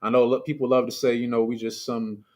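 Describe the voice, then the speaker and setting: A man delivering a casual sermon over a livestream, talking alone into his mic. His pitch sits in an ordinary middle range. He speaks loosely and chattily, full of asides, and stresses his points with rhetorical questions aimed at the people watching. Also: little pitch movement, quick pace. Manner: conversational and emphatic, with rhetorical questions. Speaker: preacher leading a livestreamed service. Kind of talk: informal streamed sermon.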